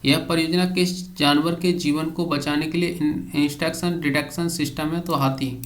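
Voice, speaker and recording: Higher-voiced man, monotone quiz delivery. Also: normal speed. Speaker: exam coaching teacher. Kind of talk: reading out quiz questions and answers for exam students.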